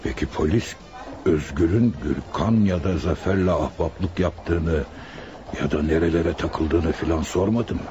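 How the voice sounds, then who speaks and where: A man acting a middle-aged scheming restaurant owner in a radio drama, measured and probing, feigning fatherly concern. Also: low-pitched, highs cut off, background noise. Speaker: voice actor. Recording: radio play with music underneath.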